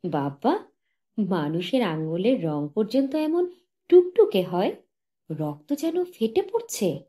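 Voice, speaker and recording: A woman's voice: medium pitch, big pitch swings, takes it slowly, dark tone. A woman voicing a young adoring wife who is playful, jealous and anxious, with a coaxing, tender way of speaking. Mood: teasing, adoring.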